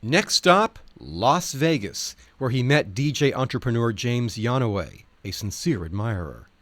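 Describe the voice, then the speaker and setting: A man narrates a written nonfiction piece in a medium-pitched voice. His delivery is articulate and wry, relishing wordy sentences. The recording is dry, free of room echo. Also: very animated, measured pace, fairly bright tone. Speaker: narrator reading from a nonfiction book. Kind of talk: scripted narration, audiobook-style reading.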